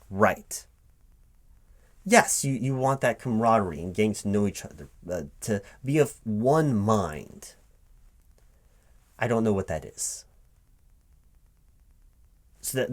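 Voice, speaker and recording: A man's voice: fairly low pitch, natural voice. A man playing an earnest, easily flustered corporate recruiting manager in a spoken ASMR roleplay, wordy and prone to stammering. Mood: insistent, earnest, then puzzled. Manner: rambling corporate jargon, hesitant and stammering when thrown. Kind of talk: spoken ASMR roleplay, one-sided job interview.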